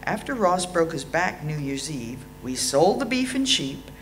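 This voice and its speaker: An Australian woman in her sixties reads a prepared eulogy with measured, dryly humorous delivery. Her pitch moves up and down in a lively way. The recording is made in a hall, with a faint hum and some noise behind her. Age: 60s. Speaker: eulogy reader at a memorial. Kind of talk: reading a prepared eulogy aloud. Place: indoor hall.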